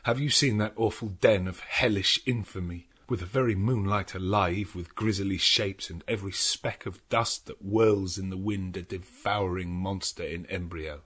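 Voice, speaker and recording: A man's voice: low-pitched, fairly even intonation, normal speed, few pauses, a bit bright, slightly rough, pitched below the performer's own voice. A man voicing a distraught, hot-tempered young husband in an impassioned, declamatory way, in a gothic audiobook. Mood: horrified.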